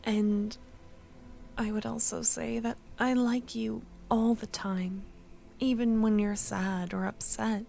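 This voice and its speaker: A woman playing a tender, wistful young childhood friend in a soft-spoken, breathy ASMR audio roleplay, often close to a whisper. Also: mid-range voice. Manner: slow, tender, hesitant.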